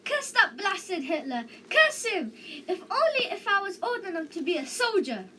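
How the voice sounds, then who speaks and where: Casting: pestering small boy for a storybook, played by a woman, high, bright and lively. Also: moderate pace, a little hiss or hum, heavily acted. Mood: angry. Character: curious, excitable pestering little brother.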